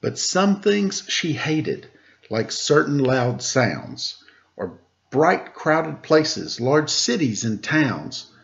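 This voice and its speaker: A man reading a rhyming picture book aloud, lilting and expressive in the verses, relaxed and chatty in the asides. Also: a little high, very slow, gravelly, highs cut off.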